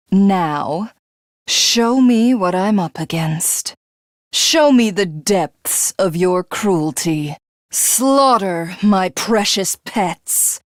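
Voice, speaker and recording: A woman's voice: medium pitch, no echo, studio quality. An actress playing an arrogant, power-hungry alien warrior woman in a video game, with a haughty, grandiose and theatrical delivery. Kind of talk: video game character voice acting.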